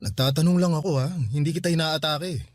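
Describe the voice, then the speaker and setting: Radio drama: man acting patient, awkward older brother, Filipino accent, lightly high voice, dry close sound, hesitant. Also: fast talker, darkish tone, dry recording, lightly acted. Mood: gently probing. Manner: coaxing, hesitant. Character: conciliatory older brother back from years abroad, patient, awkward.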